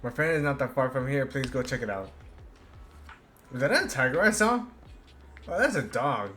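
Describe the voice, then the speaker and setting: A man streaming a game, reading its dialogue aloud haltingly and adding casual commentary. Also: medium pitch, big pitch swings, bright, forceful tone, faint music behind. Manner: halting read-aloud with stumbles.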